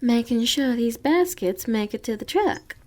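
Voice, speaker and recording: A woman playing a sweet, comforting young farmer's daughter with a Southern US accent in a gentle, folksy ASMR roleplay. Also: on the high side, expressive, unhurried. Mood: teasing. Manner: gentle, folksy, reassuring, giggly. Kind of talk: ASMR roleplay, intimate and slow.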